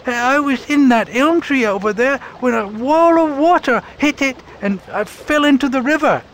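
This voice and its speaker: A man voicing a small, excitable female robin in a read-aloud storybook, stammering and flustered. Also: very high-pitched, moderate pace, slight background noise, pitched above the performer's own voice, rough recording. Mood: excited. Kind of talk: storybook character voice.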